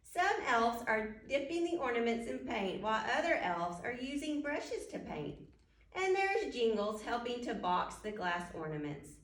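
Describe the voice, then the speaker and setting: A woman's voice: fairly high pitch, sing-song, unhurried, a bit bright. A woman reading a children's storybook aloud at storytime, speaking directly to young listeners and putting questions to them. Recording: roomy echo, distant microphone.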